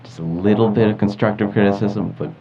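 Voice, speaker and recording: A man's voice: fairly low pitch, fairly even intonation, a little fast, over music. A teenage boy from New York co-hosting a podcast in casual, slangy chat. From New York, United States. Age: teens. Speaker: teen podcast co-host. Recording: muffled audio, clear background noise.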